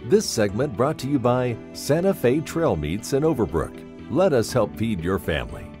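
A man announcing sponsors in a smooth scripted read. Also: medium pitch, big pitch swings, moderate pace, music in the background.

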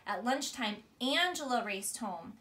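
Female storybook reader, thirties, high bright voice, expressive delivery, recorded in a small bedroom. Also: measured pace. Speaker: teacher reading a bedtime story. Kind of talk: storybook read-aloud to a child. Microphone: camera a few feet away.

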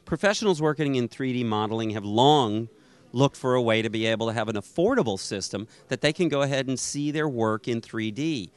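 A man's voice: mid-range voice, expressive, fairly quick, clean tone. A middle-aged man presenting and asking questions in an on-camera trade show interview. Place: trade show booth indoors. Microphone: handheld interview microphone.

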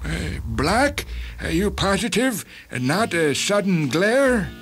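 A man playing a polite, uneasy hospital doctor with a mild, halting manner in a dramatised story. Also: high-pitched, very animated, a bit bright, husky, heavily acted. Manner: halting, mild, full of hesitations.

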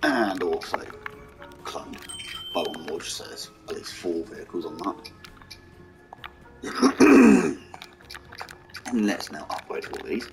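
A man with a hoarse, croaky voice, mumbling casual commentary over a strategy game and talking to its vehicles. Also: deep voice, wildly swooping pitch, slow, long pauses, rough recording. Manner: mumbling, talks to the game's vehicles. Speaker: gaming channel host. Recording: game music behind voice.

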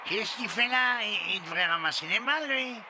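A man playing an excitable, affectionate football coach in a cartoon, exclaiming and repeating himself. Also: high-pitched, moderate pace, noisy background. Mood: excited. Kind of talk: animated cartoon dubbing.

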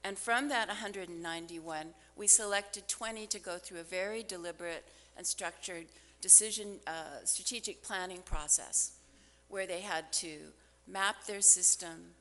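An older woman gives a prepared keynote speech at a podium, deliberate and stressing key phrases. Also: lowish voice, bright, forceful tone. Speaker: foundation representative giving a keynote. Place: large hotel ballroom at a conference, on stage. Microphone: podium gooseneck microphone. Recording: hall reverb from conference ballroom PA.

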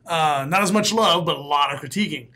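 A man in his late thirties, a comedian guest with a raspy voice, telling stories on a podcast in a small studio with a close mic. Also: a little high, brisk. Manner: animated storyteller, laughs often, bursts into loud announcer calls. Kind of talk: casual podcast interview. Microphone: studio mic, headphones.

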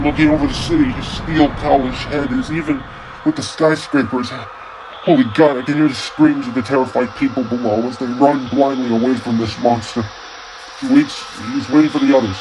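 A man playing a doomed radio news reporter, giving a halting, stammering eyewitness broadcast in an old-time radio drama. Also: mid-range voice, background noise, lightly acted. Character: doomed male radio reporter, dread turning to panic.

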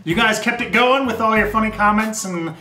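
A man's voice: high-pitched, fairly quick. A young man hosting a web show, chatting casually and jokily as he answers viewer questions. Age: young adult. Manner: animated, jokey, informal. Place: small indoor room with poster wall. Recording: roomy echo, music underneath.

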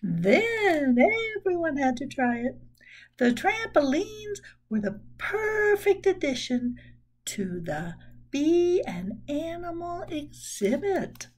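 An older woman in her sixties reads a children's book aloud as a storyteller, expressive and deliberate. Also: high-pitched. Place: small room at home.

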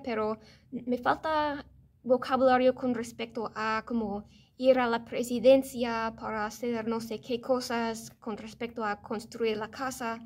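American woman, 30s, higher voice, casual vlog to camera from her office desk, self-correcting. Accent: American English. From United States. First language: English. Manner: chatty, self-correcting, animated hand gestures. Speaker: vlogger. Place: office or classroom, indoors, medium room.

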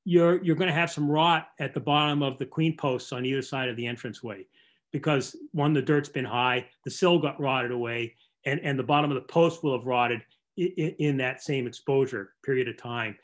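An older man giving an informal slideshow talk over a video call, explaining in a halting way and often repeating words. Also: mid-range voice. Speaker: barn restoration contractor giving a lecture. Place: indoor room, on a video call.

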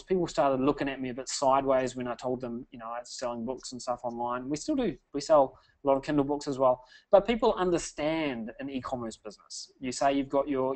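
A man's voice: medium pitch, low-quality recording. A man hosting a sales webinar, talking in an upbeat salesy way and often tagging "okay?". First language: English. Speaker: webinar host.

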